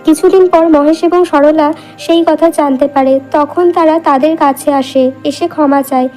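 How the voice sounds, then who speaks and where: A woman narrates a cartoon folk tale from a script. Her voice is high with a soft, dark tone, and faint music plays behind her. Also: steady tone, moderate pace.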